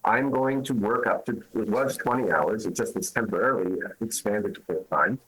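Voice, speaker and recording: A man's voice: lowish voice, normal speed, fairly bright tone, slightly rough, rough recording. A man from Canada, an immigration lawyer, answering interview questions in an emphatic, arguing way with rhetorical questions.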